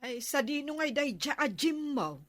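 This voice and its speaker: Ilocano village captain's wife, hesitant. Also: female voice, high voice, big pitch swings, moderate pace, dry recording. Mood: mildly complaining. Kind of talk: Ilocano radio comedy drama acting.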